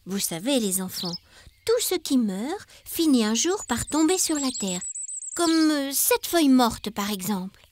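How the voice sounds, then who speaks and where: A woman's voice: high voice, very animated, measured pace, a little hiss or hum. A woman voicing a friendly female spider who guides children in an educational cartoon, reassuring and teacherly. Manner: reassuring, explanatory. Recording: music behind.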